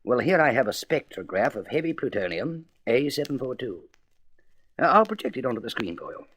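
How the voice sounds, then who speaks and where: A man's voice: mid-range voice, big pitch swings, brisk, a bit bright, slightly rough. A man playing a courteous, grave president of a space council in a radio serial, formal and emphatic. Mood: explanatory. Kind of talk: vintage science-fiction radio serial acting.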